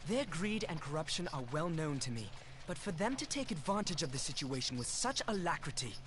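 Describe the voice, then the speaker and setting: Young army officer in a game, earnest and old-timey formal, lightish pitch, bit of background noise. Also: male voice, very animated, a bit bright. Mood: grave, formal. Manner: formal, archaic, measured phrasing. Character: earnest, dutiful young male military officer. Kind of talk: video game cutscene acting.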